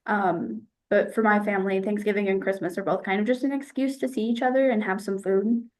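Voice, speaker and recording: A young American woman speaks in an even middle voice. She answers interview questions casually, hesitates now and then, and reflects as she goes. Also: mid-range voice, fairly quick. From United States. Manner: thoughtful, hesitant, with fillers.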